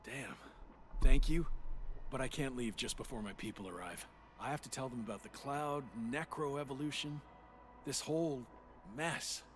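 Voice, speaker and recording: An actor playing a weary, stubborn male space officer in a video game, speaking in a grave, deliberate way. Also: mid-range voice, slight background noise, natural voice. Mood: resigned. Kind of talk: dramatic video game dialogue. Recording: loud music behind the dialogue.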